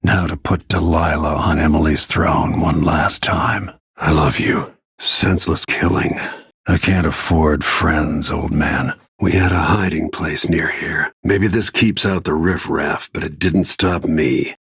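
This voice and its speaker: A man voicing a grim, middle-aged assassin and protective father in a video game, gravelly and husky, with terse, brooding, controlled delivery. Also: extremely low pitch, fairly even intonation, a little slow, a bit bright, no room sound, clean studio sound, exaggerated voice.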